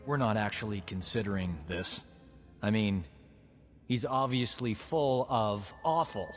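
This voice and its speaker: An actor playing a wisecracking, sceptical ship's medic in a video game, with a flippant, incredulous manner. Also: male voice, fairly low pitch, a little slow, natural voice. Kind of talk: video game cutscene acting. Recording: reverberant space, faint music behind.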